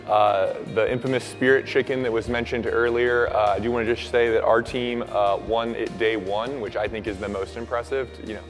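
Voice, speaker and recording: A young man with a hoarse, raspy voice preaching and praying in casual, earnest words with many fillers. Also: mid-range voice, fairly even intonation, moderate pace, hardly any pauses, faint music behind. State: hoarse from shouting at camp. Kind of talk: sermon with prayer. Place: church hall. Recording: long reverb of a church hall.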